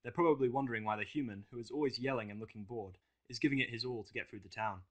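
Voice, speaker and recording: A man's voice: mid-range voice, very fast. Casting: male narrator, middle register, for scripted first-person audio fiction.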